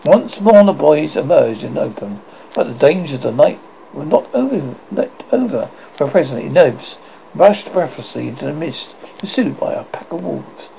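Gravelly man reading a storybook aloud. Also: a little high, very animated, moderate pace. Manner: unpolished reading with frequent stumbles and restarts. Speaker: audiobook reader. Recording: dull lo-fi recording with faint noise.

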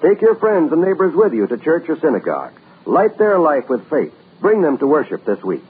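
A male announcer reading a solemn public service message with earnest, measured delivery. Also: a little high, huge pitch swings. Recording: tinny lo-fi old radio broadcast.

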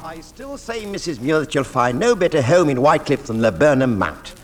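An actor playing a fussy, evasive village leasing agent, formal and exasperated, in 1940s radio drama style. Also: male voice, fairly high pitch, sing-song, a little fast, faint music behind.

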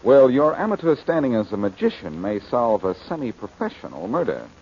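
A man's voice: mid-range voice, wildly swooping pitch, brisk, a little mellow. A man playing a cocky, wisecracking amateur sleuth in 1940s radio style, glib and teasing. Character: cocky, wisecracking male amateur sleuth, cool and quick-witted. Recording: muffled old-time radio recording, faint noise.

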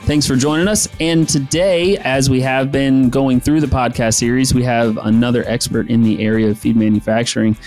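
A man's voice: medium pitch, steady tone, moderate pace, hardly any pauses, a little mellow, faint music behind. A man hosting a podcast interview who asks long, rambling questions and repeats words as he goes. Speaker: podcast host.

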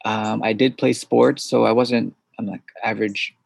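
Husky low-voiced man, hesitant. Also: steady tone, no echo. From suburbs of New York City. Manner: thoughtful, hesitant, many pauses. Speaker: podcast guest, founder. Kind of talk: interview conversation.

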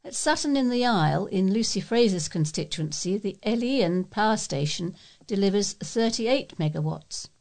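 A woman with a British accent reads local news and letters aloud. She sounds like a volunteer newsreader working from a written script, with a medium-pitched voice. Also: lively intonation, moderate pace, darkish tone.

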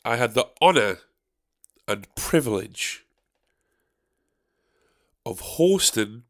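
A man with a deep, nasal, gravelly voice, stuffed up from a cold. He rambles through a solo podcast, swearing freely and laughing at his own mistakes. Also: big pitch swings, slow pace. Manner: sweary, rambling, self-mocking. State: recovering from a cold. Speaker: podcast host. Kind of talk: casual solo podcast chat. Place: dark bedroom at a desk. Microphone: desk microphone, badly set up.

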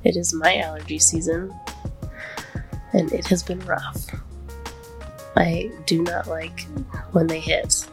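An American woman hosting a podcast in casual, candid chat, with a congested voice that sits deeper than her usual. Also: little pitch movement, takes it slowly, creaky, pauses often, music in the background. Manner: candid, conversational. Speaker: podcast host.

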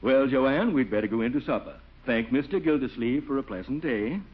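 A man's voice: medium pitch, slightly rough, close to an everyday voice. An actor playing a courtly, sanctimonious older clergyman, formal and slightly absent-minded, in a 1940s radio comedy. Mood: solemn, courteous. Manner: formal, scripture-quoting, measured. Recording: muffled 1940s radio broadcast.

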